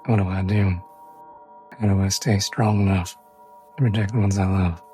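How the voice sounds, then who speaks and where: A man's voice: deep voice, steady tone, normal speed, dark tone. A man player in a tabletop roleplay, speaking tersely as and about his character. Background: music.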